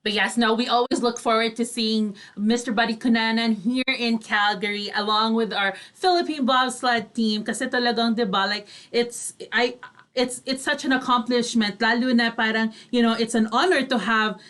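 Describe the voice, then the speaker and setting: Higher-voiced Filipino woman co-hosting. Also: steady tone, moderate pace, fairly bright tone. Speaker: co-host. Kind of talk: casual chat, mixing Tagalog and English.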